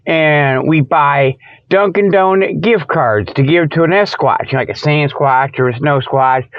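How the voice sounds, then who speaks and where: A man's voice: fairly high pitch, unhurried, no echo. Folksy rambling man, dull lo-fi sound. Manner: folksy, stammering, digressive, chuckling at own jokes. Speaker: radio show host. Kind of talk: rambling comedic monologue.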